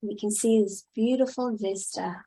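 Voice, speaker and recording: A woman leading a guided meditation in a soothing style, speaking in short, unhurried phrases with long pauses. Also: medium pitch, dark tone, husky, rough recording.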